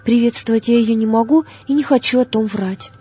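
A woman's voice: a little high, a little fast, dark tone, slightly rough. A woman narrator reading the words of a devout, defiant young male preacher in an audiobook, earnest and plain-spoken. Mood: firm, defiant.

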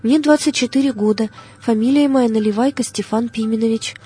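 A woman's voice: on the high side, a little fast, dark tone, slightly rough, faint music behind. A woman narrator reading the words of a devout, defiant young male preacher in an audiobook, earnest and plain-spoken. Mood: firm, defiant.

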